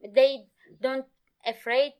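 A woman, a Kurmanji speaker from Turkey, talking as an interview guest in halting English, often pausing to search for words. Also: sits high, level tone, a little mellow, clean tone. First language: Kurmanji Kurdish. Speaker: guest, Kurdish language teacher. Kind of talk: casual interview conversation.

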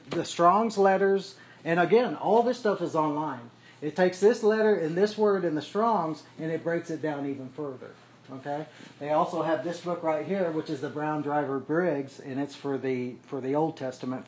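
A man's voice: a little high, moderate pace, a little mellow. A man leading a small Bible study, explaining word-study tools step by step and checking in with the group as he goes. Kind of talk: casual teaching to a small group. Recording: echoey room, faint background noise.

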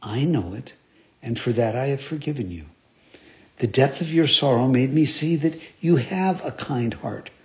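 A man voicing a kind, patient, motherly fairy who speaks gently and instructs calmly. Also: mid-range voice, moderate pace, pitched below the performer's own voice, close to an everyday voice. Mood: tender. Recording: dull lo-fi recording.

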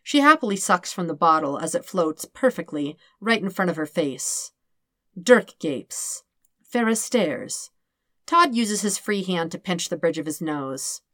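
A woman's voice: on the low side, lively intonation, unhurried, fairly bright tone, dry recording, clean studio sound. A woman reading scripted fiction as an audiobook narrator.

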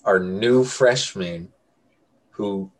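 Young guy w a lowish voice, rambly casual storytelling, keeps correcting himself. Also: very slow. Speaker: podcast co-host telling a story.

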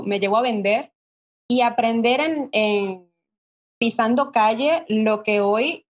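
Venezuelan woman, higher voice, dull audio. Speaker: podcast guest, online marketer. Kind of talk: casual conversational interview. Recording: dull, lo-fi online call audio.

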